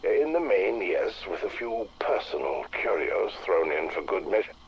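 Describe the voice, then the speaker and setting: An actor playing an urbane, fussy English gentleman explorer with an upper-class accent, measured and pompous in a period audio drama. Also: low-pitched, sing-song, a little hiss or hum, rough recording. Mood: genial, self-satisfied.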